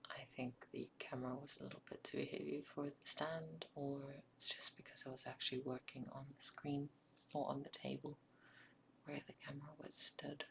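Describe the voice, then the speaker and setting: A South African woman whose first language is Afrikaans, narrating a craft project in a soft, often whispered ASMR style with frequent ums. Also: low voice, little pitch movement, normal speed, vocal fry, slight background noise. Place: home craft table.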